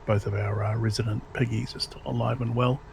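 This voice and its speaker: A man's voice: mid-range voice, steady tone, a little fast, vocal fry, darkish tone, a little hiss or hum. A man in casual chat, rambling with lots of fillers and restarts. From United States. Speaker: livestream guest.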